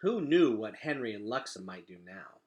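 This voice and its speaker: A man reading a children's storybook aloud as an expressive narrator. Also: lowish voice, moderate pace. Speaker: read-aloud reader.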